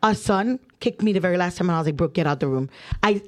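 A woman's voice: on the low side, lively intonation, fast, a little mellow. A woman from Trinidad co-hosting a podcast, telling stories in a chatty, laughing way with lots of "like". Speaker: podcast co-host. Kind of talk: casual chat.

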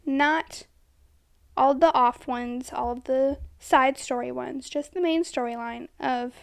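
High, gravelly-edged young-sounding woman. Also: fairly even intonation, slow pace. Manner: giggly, youthful-sounding, says she sounds twelve. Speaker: podcast co-host. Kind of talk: casual podcast chat.

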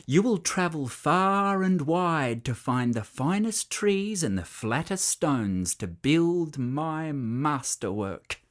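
A storyteller putting on a pompous, self-important island king from a storybook, declaring his plans in a raised, lively voice. He swells over grand words and drags out his proclamations with vain relish. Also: medium pitch, slow, hardly any pauses, pitched above the performer's own voice.

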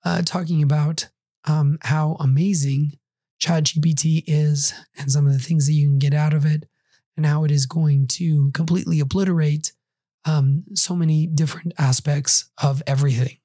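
A middle-aged man hosting a solo podcast, hoarse as he recovers from a cold and flu, talking in a conversational way with wry asides. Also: fairly high pitch, level tone, moderate pace, dark tone, no echo, studio quality. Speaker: podcast host.

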